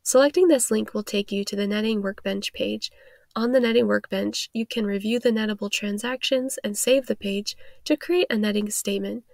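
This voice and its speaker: A woman's voice: mid-range voice, steady tone, normal speed, creaky, a little mellow, dry recording, studio quality. A woman reading scripted explainer narration as a tutorial narrator, in an instructional manner.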